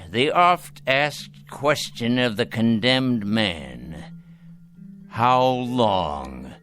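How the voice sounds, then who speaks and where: An actor playing a cantankerous, dying old patriarch in a radio drama, with florid, cutting, deliberate speech. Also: male voice, mid-range voice, animated, fairly bright tone, full character voice. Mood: wry, demanding. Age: older. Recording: radio drama with background music.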